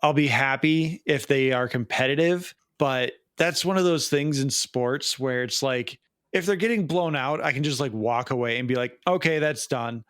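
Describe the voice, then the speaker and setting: A man's voice: medium pitch, normal speed, a bit bright, clean tone, dry recording, studio quality. A man co-hosting a podcast, chatting casually about movies in a wry, jokey manner. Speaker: podcast co-host.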